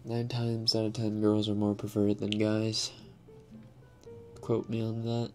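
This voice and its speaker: A young man hosting an ASMR live stream, answering chat in a soft, half-whispered, slightly raspy voice and laughing often. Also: fairly low pitch, completely flat delivery, measured pace, soft background music. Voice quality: a little raspy.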